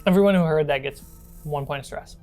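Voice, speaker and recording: A woman's voice: deep voice, brisk, mellow, faint music behind. A woman narrating a tabletop horror role-playing game as the game master.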